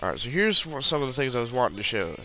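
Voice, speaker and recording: A man's voice: mid-range voice, very animated, very fast, sharp tone, soft background music, low-quality recording. A man with the flu, sounding congested, casually talking through a puzzle tutorial over a screen recording. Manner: casual, walks through steps while clicking. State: has the flu, congested and sniffling.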